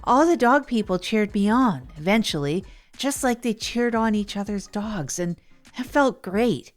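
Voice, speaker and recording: A woman's voice: medium pitch, expressive, measured pace, soft background music, close to an everyday voice. A woman playing an earnest, self-effacing radio host who tells her misadventures in a chatty, hesitant, improvised way. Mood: pleased, reflective. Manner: hesitant, rambling, chatty, chuckles at herself. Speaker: narrator and radio show host. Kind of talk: improvised first-person audio drama monologue.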